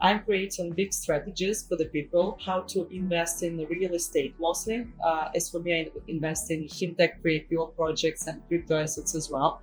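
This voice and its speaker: A woman's voice: lowish voice, fairly even intonation, fairly quick, few pauses, faint music behind, rough recording. A woman from Russia with a Russian accent, speaking loosely phrased non-native English as a guest in a casual interview. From Russia, Saint Petersburg. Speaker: guest, property investor and conference speaker.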